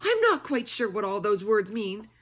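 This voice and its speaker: A woman's voice: medium pitch, very animated, normal speed, a bit bright. Woman voicing a bold, defiant little girl. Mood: cheeky defiance. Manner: bold, chanting and taunting.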